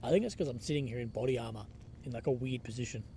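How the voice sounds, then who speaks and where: A man's voice: medium pitch, rapid-fire, slight background noise. A young man with a blocked-up nose, rambling casually on a live stream and reading out comments, a bit unwell. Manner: relaxed, rambling, lots of fillers and "like". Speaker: livestreamer reporting from a conflict zone. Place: outdoors at night in a war zone.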